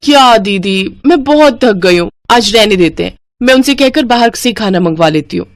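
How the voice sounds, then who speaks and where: A woman's voice: mid-range voice, animated, moderate pace, dry recording, studio quality, lightly acted. A woman voicing a lazy, pampered younger sister-in-law, whiny, cheeky and defiant, in a family moral story. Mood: pleading.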